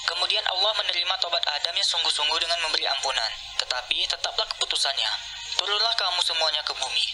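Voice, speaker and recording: A woman's voice: fairly low pitch, quick pace. Female storybook narrator, scripted religious tale, heard as app playback with prominent music underneath. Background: loud background music.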